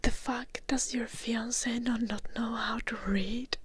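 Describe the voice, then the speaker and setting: A woman's voice: on the high side, fairly even intonation, unhurried, slightly rough. A woman from Finland, a native Finnish speaker, whispering casual, rambling ASMR chat to her listeners as a live streamer.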